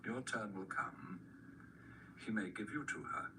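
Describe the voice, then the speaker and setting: An actor playing a sinister, courteous servant of a dark master in a radio drama, speaking formally with mock-polite menace. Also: male voice, lowish voice, unhurried, lightly acted. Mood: gloating. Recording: noisy replayed radio drama recording.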